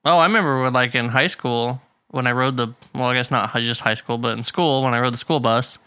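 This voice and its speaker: A man in easy podcast conversation, his voice bright and forward, recorded close and dry behind a pop filter. He rambles, restarts his sentences and laughs a lot. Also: mid-range voice, a little fast, clean tone, dry recording. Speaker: podcast co-host. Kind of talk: casual chat.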